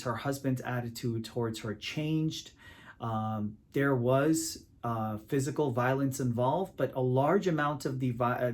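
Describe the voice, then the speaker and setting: A man from Pakistan, an immigration attorney, explaining legal protections to camera in a measured, deliberate way with frequent filler sounds. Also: medium pitch, a little mellow.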